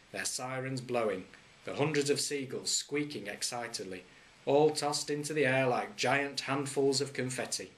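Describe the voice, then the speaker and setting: Lively head teacher reading a story. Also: male voice, slight background noise. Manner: lively storytelling with wide swings in pitch; dry, wry humour. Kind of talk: children's story read aloud.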